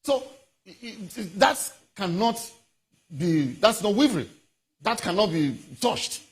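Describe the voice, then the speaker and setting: A Nigerian man with a high, rough-edged voice speaks as a legal analyst in an interview. He is deliberate and emphatic, often stopping and repeating words as he lays out his points. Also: expressive. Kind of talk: formal legal commentary in a TV interview.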